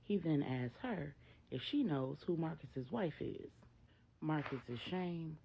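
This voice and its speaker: A woman's voice: low voice, expressive, slow pace, creaky, husky, lo-fi sound. A woman storyteller narrating a dramatic tale in the present tense.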